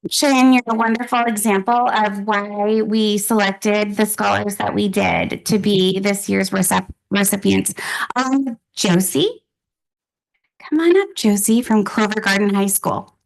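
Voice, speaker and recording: A woman's voice: medium pitch, measured pace, vocal fry, low-quality recording. A middle-aged woman presenting scholarship students at a formal meeting, speaking encouragingly and using frequent fillers.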